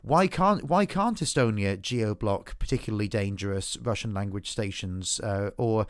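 A man hosting a political podcast, talking in a halting way with many restarts and fillers. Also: fairly low pitch, dry recording, clean studio sound. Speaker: podcast host. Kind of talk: political discussion.

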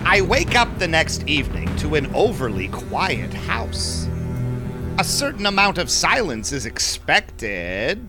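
Smug vampire businessman, played by a man, high-pitched and swooping, theatrical first-person audio drama narration over faint music. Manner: theatrical, sly, mock-polite. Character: shady, smug male vampire businessman, smooth schemer. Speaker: narrating lead character.

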